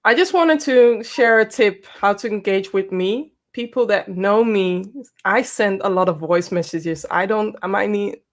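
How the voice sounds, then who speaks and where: A woman's voice: medium pitch, measured pace. A woman whose first language is Dutch, speaking English with a Dutch accent as a guest in a casual panel chat, giving direct, practical advice. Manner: direct, practical, gives tips.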